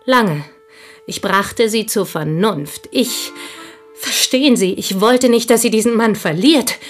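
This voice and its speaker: An actress in a German radio drama playing a possessive, intense village schoolteacher who confides deliberately, often sinking toward a whisper. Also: female voice, fairly high pitch, soft background music.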